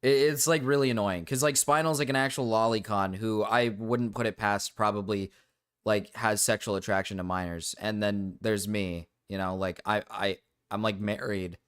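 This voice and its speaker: A young man streaming and ranting to his chat, rambling with restarts and fillers, his voice going low and cracking. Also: fairly even intonation, normal speed, fairly bright tone, smooth. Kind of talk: casual livestream rant to chat.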